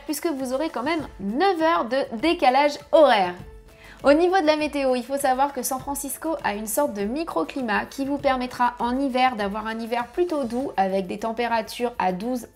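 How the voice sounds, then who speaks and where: A woman in her thirties giving travel tips to camera from her home office, lively and chatty, on a lapel mic. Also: sits high. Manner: lively, chatty, gesturing with hands. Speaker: family travel vlogger. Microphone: clip-on lapel mic.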